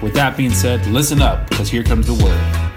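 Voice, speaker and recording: Male announcer, medium voice, smooth rhythmic promo read, loud hip hop bed. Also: mid-range voice, moderate pace. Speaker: radio show announcer. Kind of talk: scripted show intro over music. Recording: loud music bed under voice. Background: loud hip hop music.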